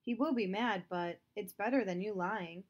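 A woman's voice: mid-range voice, moderate pace, dull audio, close to an everyday voice. Woman reading as a firm, honest young brother. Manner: firm, questioning.

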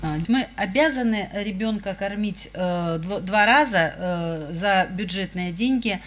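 Woman official answering at a meeting, middle aged, mid pitch, kinda halting. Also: slight background noise. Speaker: government official. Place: indoor meeting room.

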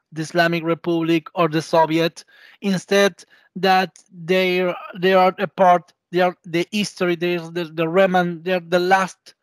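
A male podcast guest with an Argentinian accent, a native Spanish speaker. He talks in halting, non-native English, pausing to find words. The sound is dry, with no room echo. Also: sits high. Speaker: podcast guest, professor of Persian. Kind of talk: interview conversation. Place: indoor room, video call.

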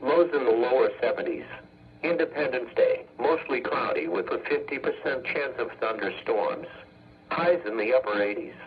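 A synthetic male text-to-speech voice reading an automated weather bulletin as a radio announcer, in measured, formulaic segments. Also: mid-range voice. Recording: muffled weather radio broadcast.

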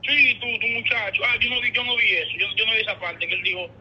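A man's voice: high voice, quick pace, bright, forceful tone, slightly rough. A Dominican man in a casual phone conversation, explaining himself hesitantly and repeating words, heard tinnily through a phone speaker. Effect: heard over a phone speaker. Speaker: caller. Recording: tinny, muffled phone call on speakerphone.

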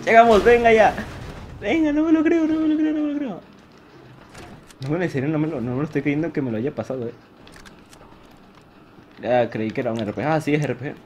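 Man, gameplay commentary, excitable, self-mocking, laughs a lot, clear game sounds behind. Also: fairly high pitch, fairly quick, pauses often. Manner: excitable, laughs often, mocks himself. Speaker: gaming youtuber. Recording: game audio noise behind the voice.

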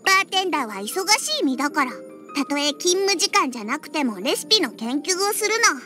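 A woman's voice: high voice, expressive, brisk, sharp tone. A woman voicing a feisty little catgirl in an anime game, with a childlike, cutesy voice and a huffy, pouty manner. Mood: proud, earnest. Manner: pouty, huffy, with cat-like verbal tics. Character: feisty little catgirl bartender who hates alcohol. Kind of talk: Japanese anime game character acting. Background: background music.

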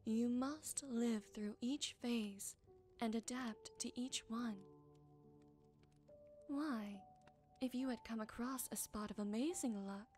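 A woman playing a gentle, wise forest witch in an ASMR roleplay, soothing, unhurried and tender, softly teasing. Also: on the high side, soft background music. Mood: tender, reassuring.

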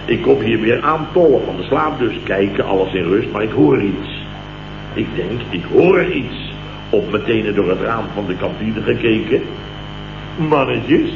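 Indignant boss, muffled old radio. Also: male voice, fairly low pitch, lively intonation, unhurried, gravelly. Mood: exasperated, incredulous. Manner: blustering, exasperated, sarcastic. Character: indignant, sarcastic male office boss telling his night's ordeal. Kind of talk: 1970s Dutch radio comedy acting. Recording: muffled, noisy, roomy old radio recording.

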